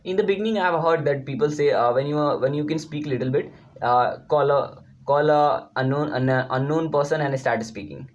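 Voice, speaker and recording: A man's voice: on the high side, normal speed, lo-fi sound. Indian man explaining, Hindi native speaker. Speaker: YouTube language tutor. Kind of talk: informal lesson to viewers.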